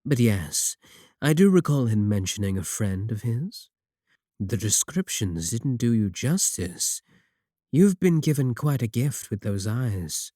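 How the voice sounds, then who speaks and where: A man playing a courteous, manipulative warlock shopkeeper in a roleplay audio, coaxing and flattering his guest with polite, unhurried talk. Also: medium pitch, no echo, studio quality. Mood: smooth, persuasive. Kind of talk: second-person roleplay audio acting.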